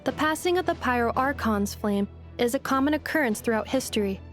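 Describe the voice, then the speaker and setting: A woman's voice: high-pitched, animated, a little fast, faint music behind. A woman voicing a polite female machine who speaks in a formal, precise way. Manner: formal, precise, announces queries.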